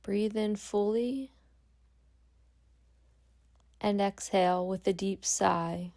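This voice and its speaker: A woman guiding a meditation as a yoga nidra instructor, speaking calmly and gently with long pauses. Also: medium pitch. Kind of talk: guided meditation.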